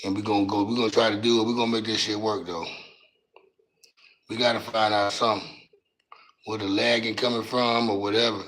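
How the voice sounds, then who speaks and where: A raspy-voiced man, hosting a casual livestream and talking loose and profane into a phone mic from his couch at home. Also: lowish voice, flat delivery, fairly quick, long pauses, a bit bright, low-quality recording. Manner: loose, profane, drawling street talk. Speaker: livestream host.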